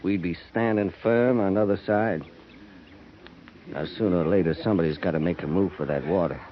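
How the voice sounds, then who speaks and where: An actor playing a middle-aged American cavalry veteran in a 1950s radio western, folksy, rambling and quietly troubled. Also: male voice, sits low, a little fast, lightly acted. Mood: brooding, intense. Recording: dull, noisy 1950s radio transcription.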